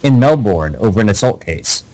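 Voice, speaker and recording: A man's voice: lowish voice, animated, normal speed, warm tone, poor audio. Man, radio co-host, dry, hesitant, lots of fillers, reading news casually.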